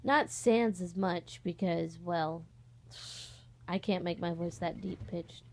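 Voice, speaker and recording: A young woman talks casually to her online followers, rambling a little and often pausing to correct herself. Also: fairly low pitch, vocal fry, a little mellow. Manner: rambling, hesitant, self-correcting. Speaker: online voice-acting channel host. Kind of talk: casual vlog, audition call.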